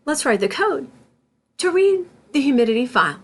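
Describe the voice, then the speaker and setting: A woman narrating a scripted tutorial as an instructor, in a deliberate, instructional manner. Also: high-pitched, very animated. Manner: deliberate, instructional, spells out terms letter by letter. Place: voice-over for a screen recording.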